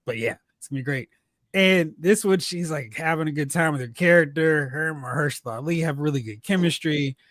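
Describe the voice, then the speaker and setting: A man's voice: on the high side, a little fast, a bit bright, no room sound, clean studio sound. A man hosting a movie talk show, chatting casually and jokingly about films and recapping news.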